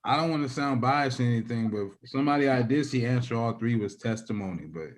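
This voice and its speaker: A man's voice: medium pitch, fast. Easygoing young man. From Houston, Texas. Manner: easygoing, hesitant, restarts sentences. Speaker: co-host. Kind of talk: casual live-stream chat.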